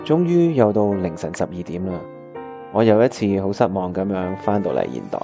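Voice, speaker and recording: A man's voice: sits low, expressive, normal speed, lo-fi sound. A man with a Hong Kong Cantonese accent acting a disheartened, wistful time traveller who narrates his own radio drama. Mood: dejected. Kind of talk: first-person radio drama narration and dialogue. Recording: music playing behind the voice.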